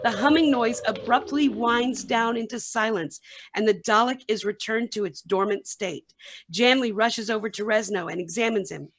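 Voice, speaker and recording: A woman's voice: fairly high pitch, moderate pace. A woman reading scripted narration and stage directions for a radio play.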